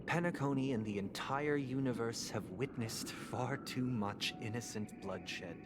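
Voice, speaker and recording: An actor playing a soft-spoken, zealous game villain who is formal, courteous and self-righteous in a measured, lofty way. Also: male voice, mid-range voice, soft background music, close to an everyday voice. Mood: courteous, composed. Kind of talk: scripted game voice acting.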